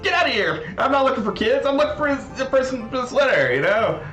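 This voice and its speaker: A man's voice: very high voice, big pitch swings, fast, fairly bright tone, dull audio, voice pushed up, exaggerated voice. A man voicing a frantic, whiny errand boy who calls out names like a street seller, in a comic folktale telling. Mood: frustrated wailing. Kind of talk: comic storytelling, acting out a rakugo tale. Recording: roomy echo, music underneath.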